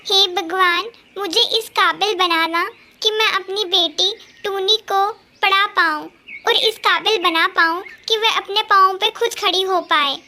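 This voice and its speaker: Woman voicing a very high-pitched earnest mother bird. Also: steady tone, a little slow, pushed and bright, slight background noise, exaggerated voice. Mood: earnest, hopeful. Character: hardworking, anxious mother bird, humble and pleading. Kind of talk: Hindi cartoon story dialogue.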